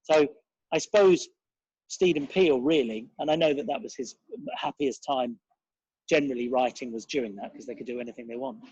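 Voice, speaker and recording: A man's voice: mid-range voice, brisk, darkish tone, husky, dry recording, highs cut off. A man giving a casual interview as a guest, reminiscing in a rambling, enthusiastic and digressive way.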